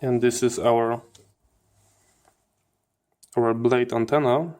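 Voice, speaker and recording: A man giving hands-on teardown commentary as a channel host, in halting phrases with repeated words. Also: mid-range voice, fairly even intonation, a little mellow, slight background noise. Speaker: host of a radio electronics channel.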